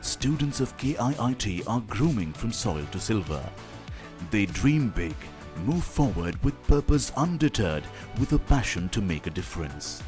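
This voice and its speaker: The low male voiceover of a sponsored TV feature, reading over a guitar music bed. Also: big pitch swings, a little slow. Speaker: narrator. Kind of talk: scripted promotional narration. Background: music with plucked guitar.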